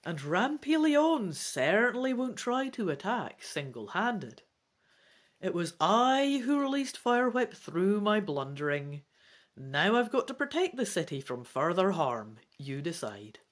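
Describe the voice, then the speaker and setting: A woman reading an adventure gamebook aloud in a theatrical, deliberate style. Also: mid-range voice, sing-song. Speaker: gamebook reader and player. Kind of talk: dramatic storybook narration.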